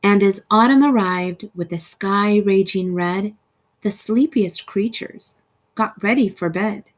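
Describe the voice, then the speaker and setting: A woman reading a rhyming children's story aloud in an expressive, theatrical way with deliberate phrasing. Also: mid-range voice. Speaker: storybook reader.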